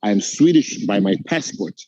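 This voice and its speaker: A man's voice: on the low side, lively intonation, moderate pace, a little mellow, dull audio. A middle-aged man from Uganda with an American-tinged accent, giving an earnest, motivational interview answer. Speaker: guest entrepreneur.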